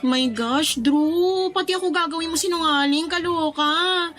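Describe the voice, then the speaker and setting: Casting: actress as exasperated, loyal young best friend, high-pitched, teasing, expressive; radio drama, soft music behind. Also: female voice, unhurried. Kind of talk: radio drama dialogue, colloquial Tagalog.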